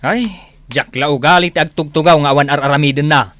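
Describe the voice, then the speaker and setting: A man's voice: fairly high pitch, quick pace, fairly bright tone, no room sound, close to an everyday voice. A man acting a stern, hardworking farmer and older brother in a radio drama, grumbling with an Ilocano accent. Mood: weary.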